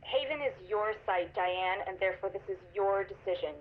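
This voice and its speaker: A woman playing a cold, menacing corporate executive in a video game, stern and measured over a telephone. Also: medium pitch. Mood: cold, pressuring. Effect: telephone filter. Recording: muffled, noisy phone recording.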